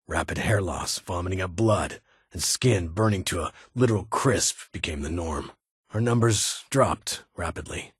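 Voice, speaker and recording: A stern ghoul officer from a video game, with a very rough, raspy and low voice. He speaks in clipped, formal military fashion, guarded and dutiful, with dry authority. The sound is close and dry. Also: no echo. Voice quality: raspy, gravelly. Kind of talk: video game character dialogue.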